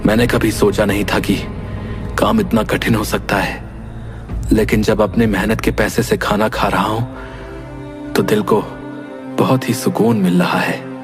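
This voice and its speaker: A humbled young prince acted by a man, earnest and full of remorse. The delivery is dramatic, as in an animated moral tale, over clearly audible music. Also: fairly low pitch, a little slow, long pauses. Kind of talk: Hindi animated moral story voice acting.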